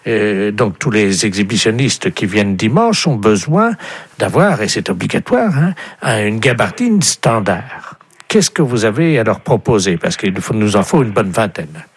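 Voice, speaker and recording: Man, radio prankster, mock-official, lively pitch, husky, dry sound. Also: normal speed, no echo. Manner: mock-official, earnest absurdity. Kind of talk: prank phone call.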